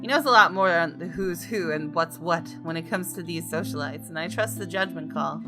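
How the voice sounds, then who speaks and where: A woman's voice: fairly low pitch, normal speed. A woman game streamer reading visual novel text aloud, dramatically and with playful outbursts. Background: game music with guitar.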